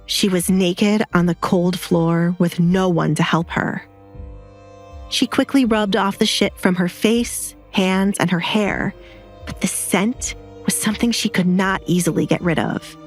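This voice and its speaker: A woman's voice: on the low side, measured pace. A woman narrator reading a scripted, dramatic audio story with music behind her.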